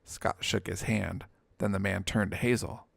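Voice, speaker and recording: A man reads scripted fiction as a narrator. His voice sits fairly low with heavy fry in it, and the recording is close and dry. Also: fairly even intonation, normal speed, dry recording. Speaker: audiobook narrator.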